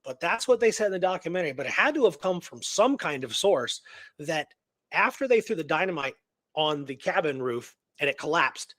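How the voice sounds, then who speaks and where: Higher-voiced man, casual co-host, dry sound. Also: sing-song, brisk, fairly bright tone, dry recording. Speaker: radio show co-host. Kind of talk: casual conversational storytelling and discussion.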